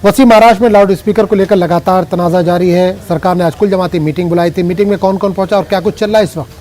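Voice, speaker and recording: A man anchoring a news broadcast, reading updates and putting questions to a reporter in a formal, measured way. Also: high voice, fairly even intonation, mellow, slight background noise.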